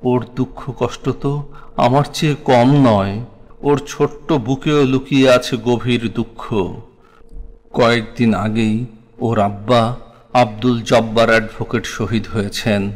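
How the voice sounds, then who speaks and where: A man with a Bangladeshi accent reciting a scripted memoir in a solemn, literary and expressive manner. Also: mid-range voice, a little slow. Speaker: narrator of a war memoir. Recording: long reverberant echo on voice.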